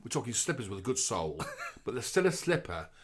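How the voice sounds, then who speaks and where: A man's voice: medium pitch, quick pace. A man from the UK, co-hosting a casual live stream and telling nostalgic stories with frequent laughs. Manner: animated storyteller, laughs often. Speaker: co-host.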